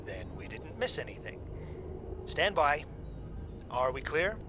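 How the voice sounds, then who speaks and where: A performer voicing a calm, patient pilot and mentor in an audio drama, giving clipped, encouraging replies. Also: mid-range voice, unhurried, background noise. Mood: calm, businesslike.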